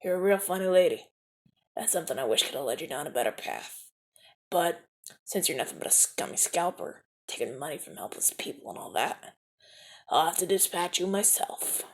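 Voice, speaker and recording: A man voicing a suave, smooth-talking mafia enforcer in an ASMR audio roleplay, teasing and dropping into soft whispers. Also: fairly high pitch, expressive, a little fast. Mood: mocking. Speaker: mafia man rescuing a newcomer.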